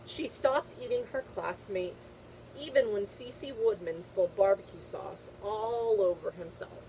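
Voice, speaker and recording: A woman's voice: medium pitch, unhurried, dark tone, slight background noise, rough recording. A woman teacher reading a picture book aloud to young children, repeating phrases and checking in with simple questions.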